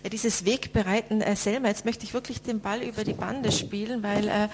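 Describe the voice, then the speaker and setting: A woman's voice: medium pitch, fairly even intonation, fairly quick, a little hiss or hum. A woman hosting a casual discussion, talking conversationally with many fillers. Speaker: host. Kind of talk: moderating a discussion.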